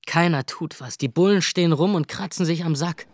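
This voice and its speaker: A wry, jittery young man, voiced by an actor, tells the story in a radio play, his voice sitting a touch high. He talks with sardonic, dry asides. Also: normal speed, background noise, natural voice. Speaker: narrator. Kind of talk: radio drama first-person narration.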